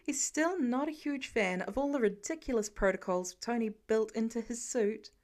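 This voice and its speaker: A woman's voice: a little high, normal speed, a little mellow. A woman reading a story aloud as scripted narration. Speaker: podfic narrator.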